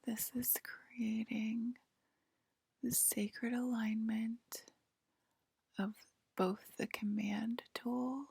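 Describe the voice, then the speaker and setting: Female ASMR performer, slow breathy whisper, soothing sci-fi roleplay as a calm spaceship abductor, intimate close-mic feel. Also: a little high, no room sound. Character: calm alien abductor attending to a patient aboard a spaceship. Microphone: close microphone, dry.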